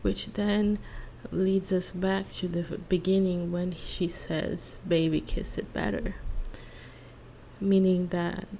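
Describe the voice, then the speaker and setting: Woman, soft ASMR delivery, gravelly vocal fry, lowish pitch, halting hushed phrasing, faint background noise. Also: flat delivery. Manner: hushed, halting, lingering phrases, sometimes dropping into whispers. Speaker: ASMR creator and host. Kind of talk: soft-spoken ASMR role-play monologue with song analysis.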